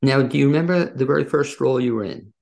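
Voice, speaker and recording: A man's voice: medium pitch, fast, creaky, darkish tone. A man, hosting an interview in a casual, conversational style. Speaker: interview host.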